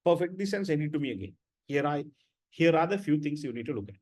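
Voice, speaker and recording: A man's voice: a little high, animated, fast talker, a little mellow. A man lecturing students in an online class, blunt and repetitive, often checking with "right?".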